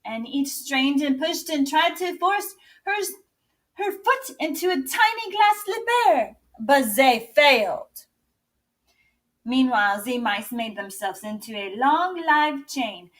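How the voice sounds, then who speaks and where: A woman reading a children's story aloud in an expressive, theatrical, deliberate way. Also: high-pitched. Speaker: English teacher and drama director reading aloud.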